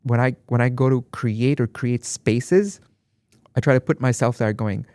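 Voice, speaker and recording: A man's voice: mid-range voice, normal speed, a little mellow, no echo, studio quality. A man from Dubai, guest on an interview podcast, speaking reflectively and with emphasis, often repeating phrases. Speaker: guest artist. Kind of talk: conversational interview.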